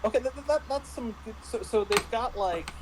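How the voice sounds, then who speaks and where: A man in a casual review discussion, talking haltingly with repeated words and false starts. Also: very high-pitched, background noise. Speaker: panelist reviewing a tabletop roleplaying game.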